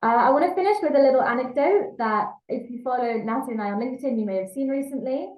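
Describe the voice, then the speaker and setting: English lady teaching learners, earnest and explaining, a bit high pitched, echoey room sound. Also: fast talker, darkish tone. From England. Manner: earnest, explanatory, repeats key points for emphasis. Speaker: language teacher.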